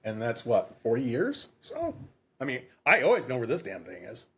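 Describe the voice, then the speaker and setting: A man's voice: medium pitch, huge pitch swings, moderate pace, a bit bright, breathy edge. A man in his fifties talks casually as a podcast co-host, with a mid-range voice. He rambles through his points and repeats phrases to drive them home. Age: 50s. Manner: rambling, emphatic repetition. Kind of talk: casual book discussion.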